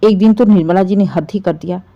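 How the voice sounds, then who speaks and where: A woman narrating a scripted family story in an expressive, dramatic and sympathetic way. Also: mid-range voice, a little fast, highs cut off, faint music behind.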